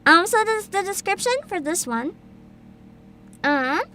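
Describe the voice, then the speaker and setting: A woman's voice: very high-pitched, lively intonation, a little slow, bright, forceful tone, a little hiss or hum. A young woman streaming as a VTuber, chatting casually with her viewers, giggling often and reading game descriptions aloud. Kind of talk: casual livestream chat.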